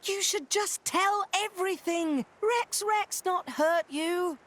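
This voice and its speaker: A woman's voice: very high-pitched, takes it slowly, a bit bright, exaggerated voice. A woman voicing a small, innocent creature that talks in broken sentences in a video game. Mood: coaxing. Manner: broken grammar, third-person phrasing. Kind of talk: video game cutscene acting.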